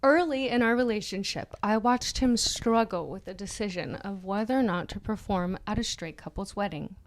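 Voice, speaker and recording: A woman's voice: medium pitch, moderate pace. A woman co-hosting a comedy podcast, reading an article aloud mock-dramatically with joking asides and often breaking into giggles. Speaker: podcast co-host.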